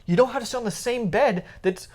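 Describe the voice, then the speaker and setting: A woman's voice: on the high side, brisk, darkish tone. A woman co-hosting a podcast, chatting casually and giving opinions in an emphatic, teasing way. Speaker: podcast co-host.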